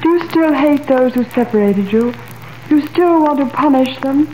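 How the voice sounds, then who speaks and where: An actress in a 1938 radio drama playing a gentle, questioning young woman, on an old broadcast recording. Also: high voice, animated, normal speed, reverberant, a little hiss or hum, poor audio. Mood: tender. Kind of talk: 1930s radio acting.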